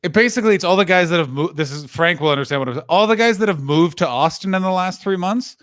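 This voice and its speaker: A man from Canada telling stories in a casual chat, animated and acting out what people said. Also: high voice, fast, no room sound, clean studio sound. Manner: animated storytelling, swearing, acts out quotes.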